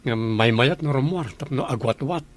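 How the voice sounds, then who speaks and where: A man's voice: medium pitch, normal speed, close to an everyday voice. A man playing a worried father and boss who questions patiently, in a radio drama. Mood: concerned.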